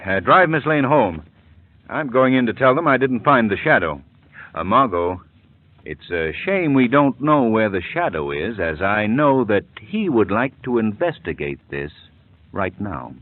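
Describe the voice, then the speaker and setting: A man playing an urbane, wry gentleman detective in an old radio drama, with polished, genial speech. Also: on the low side, sing-song, unhurried. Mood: breezy, wry. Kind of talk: 1940s American radio acting. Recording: tinny old radio recording.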